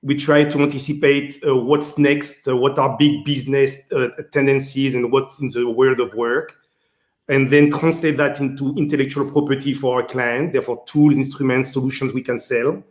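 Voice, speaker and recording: A man's voice: medium pitch, flat delivery, moderate pace. A man from Martinique with a French accent, answering interview questions as a guest executive, hesitant and full of fillers. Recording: roomy echo.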